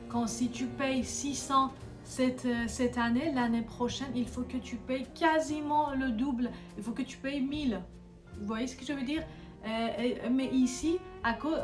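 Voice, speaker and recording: A fairly high-voiced woman talks casually to camera, earnest and hesitant, pausing and correcting herself often. She sounds a little distant from the mic, with clear music playing underneath. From Iran. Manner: earnest, hesitant, many pauses and self-corrections. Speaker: vlogger. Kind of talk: casual vlog talk to camera.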